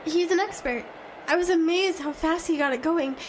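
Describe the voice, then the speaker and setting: Scared woman lost in snowstorm, super high panicky pleading voice, bit of noise, audio drama. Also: moderate pace, rough recording. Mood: anxious pleading. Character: Katrina, frantic frightened woman lost in a blizzard. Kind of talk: horror audio drama acting.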